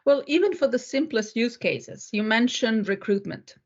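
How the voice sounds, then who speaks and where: A woman's voice: fairly high pitch, lively intonation, moderate pace, lo-fi sound. A woman hosting a conversational panel discussion and giving her own views. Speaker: interviewer, panel host.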